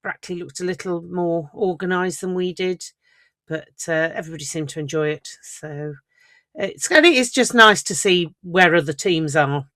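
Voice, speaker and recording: A woman's voice: lowish voice, moderate pace, a bit bright, no echo, clean studio sound. A woman co-hosting a casual sports podcast, chatting in a rambling way. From England. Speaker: podcast co-host. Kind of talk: casual chat.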